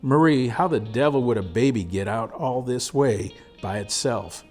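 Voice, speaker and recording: A man voicing a gruff, exasperated frontier husband with folksy rural speech in a storybook reading. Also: medium pitch, normal speed, darkish tone, faint music behind, lightly acted. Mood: baffled.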